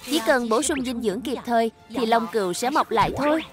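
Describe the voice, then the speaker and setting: A woman as a gentle cartoon auntie explaining things. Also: fairly high pitch, brisk, over music, close to an everyday voice. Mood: calm, explaining. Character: kindly aunt caretaker explaining gently to children. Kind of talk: dubbed children's cartoon dialogue.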